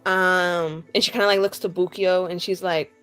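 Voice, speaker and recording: A woman playing a tabletop roleplaying game, speaking in a halting, rambling, improvised in-character style with casual asides. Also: lowish voice, steady tone, fairly bright tone, clear, resonant tone, faint music behind.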